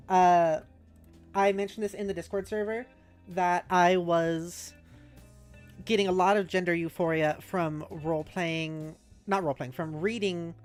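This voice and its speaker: A woman streamer chatting casually with viewers, giggly and halting as she thinks aloud and reads out messages. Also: fairly low pitch, darkish tone. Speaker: streamer, host. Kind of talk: casual livestream chat, reading out viewer messages. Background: music.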